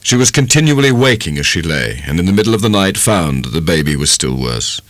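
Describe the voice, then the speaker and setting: A man narrates classic fiction in a low voice with lively, swooping intonation. His storytelling is measured and dramatic. The sound is dry and close. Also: no echo. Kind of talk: dramatic literary audiobook narration.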